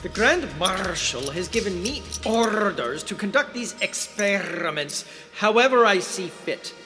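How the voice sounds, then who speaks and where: An irritable, sardonic scientist in an audio drama. His high voice swings widely with exasperation and sarcasm. Music plays clearly behind him in a long reverberant space. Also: lively intonation, unhurried. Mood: defiant, sarcastic. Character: irritable sardonic male scientist working on dangerous experiments.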